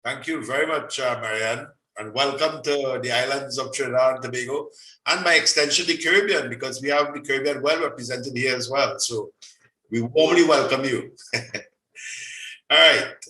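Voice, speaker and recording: Chatty male meeting host, bright tone, distant in an echoey room. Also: medium pitch, moderate pace. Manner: chatty, hands over the floor. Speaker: host of a radio club meeting. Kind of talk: hosting an online meeting. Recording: echoey room, voice from away from the mic.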